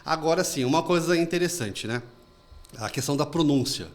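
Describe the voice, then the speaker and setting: A man's voice: on the high side, expressive. A man hosting an interview, chatting casually and telling anecdotes. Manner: fast, chatty storytelling with anecdotes. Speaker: podcast host.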